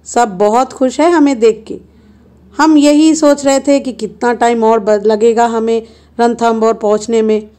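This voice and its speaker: Woman vlogger, playful chatty narration at an unhurried pace; slightly high voice. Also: fairly even intonation, mellow. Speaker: travel vlogger. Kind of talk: casual travel vlog narration. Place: car and roadside stops.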